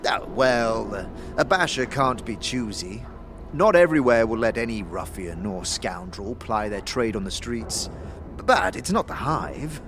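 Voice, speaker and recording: A man's voice: mid-range voice, lively intonation, measured pace, fairly bright tone, faint music behind. An actor playing a courteous, shady male street mystic in a fantasy audio drama, with a formal and theatrical manner. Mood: sly, measured.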